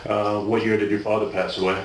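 A man questioning a suspect as a police detective, speaking in a calm, patient way. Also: on the low side, fast. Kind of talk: police interrogation. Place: small bare interrogation room. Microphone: far ceiling camera. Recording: echoey room, distant mic, faint hiss.

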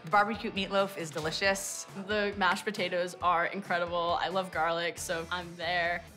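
A woman's voice: on the low side, little pitch movement, normal speed, pushed and bright, faint music behind. A young woman in her twenties, a diner, cheerfully praising the food in a restaurant dining room. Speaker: restaurant customer. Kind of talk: short on-camera testimonial.

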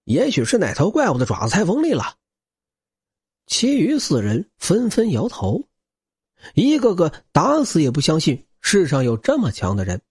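A man's voice: a little high, darkish tone, slightly rough, dry recording. A man narrating an audiobook in a dramatic, scripted style.

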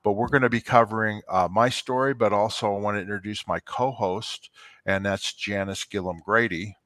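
Man, older, co-host, headset on video call, forceful bright tone, chatty with fillers. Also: fairly low pitch, steady tone, normal speed, no echo, clean studio sound. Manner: chatty, affirming, many fillers. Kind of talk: casual interview chat. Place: home kitchen, indoors.

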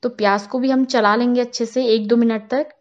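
Cooking channel host, woman, higher voice, calm step-by-step voice-over, slightly muffled lo-fi sound. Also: a little fast. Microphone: voice-over, not on camera.

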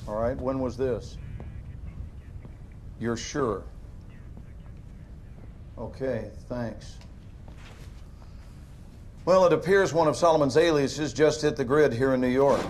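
A male actor as a controlled investigator in a game cutscene. He speaks slowly and deliberately in a mid-pitched voice. He sounds skeptical and measured. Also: slight background noise, lightly acted. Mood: businesslike. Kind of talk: cinematic video game drama.

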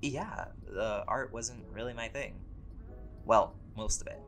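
A man acts out a laid-back male vampire who has lived for centuries, in an intimate one-sided audio roleplay. His voice sits fairly low and he chats with easy, scholarly wit and a playful teasing edge. Music plays clearly behind him. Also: takes it slowly, heavily acted. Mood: musing, wry. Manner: relaxed, conversational, wryly playful. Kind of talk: conversational audio roleplay to an unseen listener.

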